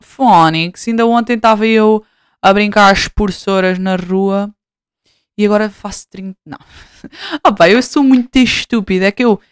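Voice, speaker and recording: Rambling Portuguese woman, smooth and dry. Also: mid-range voice, normal speed, no echo. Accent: European Portuguese. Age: 20s. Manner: rambling, swears freely, self-mocking. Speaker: podcast host. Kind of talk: casual chat.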